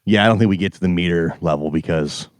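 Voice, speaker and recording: A man with a low voice who chimes in with short, agreeing asides and quips. He is miked close and dry in a studio. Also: fast talker, no room sound. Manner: chiming in with short agreeing remarks. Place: radio studio.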